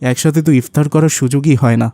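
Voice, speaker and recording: Man acting rich doting father, mellow voice. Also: mid-range voice, fast talker, no room sound, lightly acted. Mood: proud, affectionate. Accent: Bangladeshi. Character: rich businessman father, generous, boastful, doting. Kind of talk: cartoon voice acting.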